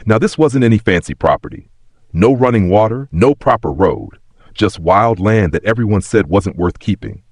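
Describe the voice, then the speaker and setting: A man's voice: on the low side, animated, moderate pace, darkish tone, husky, no room sound, studio quality. A man narrating a scripted sentimental story in a dramatic, folksy storytelling manner.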